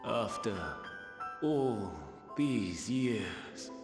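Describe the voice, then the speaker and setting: An actor playing a despairing, grandiose villain in a video game, delivering a solemn, theatrical, weary monologue. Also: male voice, medium pitch, expressive, drawn-out words. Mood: doubtful, weary. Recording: echoey hall reverb with music behind.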